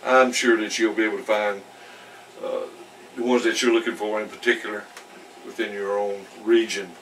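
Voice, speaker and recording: A man giving a casual hands-on product demonstration in a folksy, rambling, halting way. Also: on the low side, steady tone, fairly bright tone. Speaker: product reviewer. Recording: distant mic with faint background noise.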